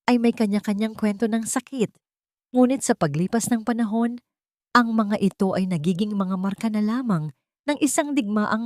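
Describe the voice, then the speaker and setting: A woman's voice: lowish voice, a little mellow, no echo, studio quality. A woman with a Filipino accent reading a scripted romantic drama story as its narrator.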